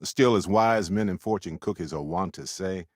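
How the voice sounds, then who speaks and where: A man playing a genial, wry, curious middle-aged space explorer in a video game, speaking in a conversational, expressive way. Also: deep voice, normal speed, dry recording, studio quality. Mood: reflective, musing. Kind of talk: video game character dialogue.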